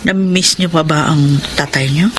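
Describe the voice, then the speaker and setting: Actress as middle-aged mother, Filipino accent, radio drama, tender and emotive, halting phrases, noisy background. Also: sits low, close to an everyday voice. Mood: gentle, hesitant. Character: hardworking widowed mother, earnest and emotional.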